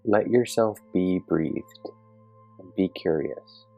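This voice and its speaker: Very deep-voiced man guiding meditation. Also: creaky, muffled recording. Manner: gentle, calm, measured instruction with long pauses. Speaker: meditation guide. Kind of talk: guided meditation narration. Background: soft meditation music with singing bowl tones.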